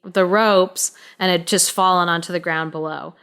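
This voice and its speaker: Woman, smooth resonant voice, slightly low pitch, casual storytelling, halting informal phrasing. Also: steady tone, a bit bright. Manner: informal, halting, explanatory delivery. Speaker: podcast co-host and storyteller.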